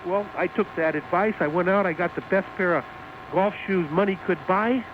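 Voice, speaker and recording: High-voiced man on a tinny phone line. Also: moderate pace, background noise. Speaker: caller to a radio show. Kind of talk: casual phone-in chat. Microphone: telephone.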